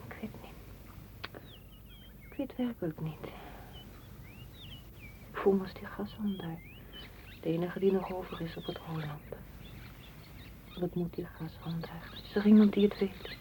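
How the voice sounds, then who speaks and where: A woman's voice: on the low side, measured pace, pauses often, warm tone, close to an everyday voice. A woman playing a withdrawn, sad lover in a radio play, subdued and introspective. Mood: lost, musing. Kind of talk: Dutch radio play acting. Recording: faint noise of old radio recording.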